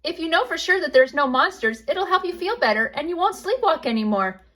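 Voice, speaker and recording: A woman as a confident high-voiced bunny girl. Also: big pitch swings, brisk, fairly bright tone, full character voice. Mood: reassuring. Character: Rosemary, helpful confident ten-year-old bunny big sister.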